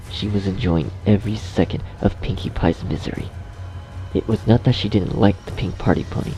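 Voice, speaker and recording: A man's voice: low voice, vocal fry, warm tone, rough recording. A man reading scripted horror story narration as the narrator. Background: music.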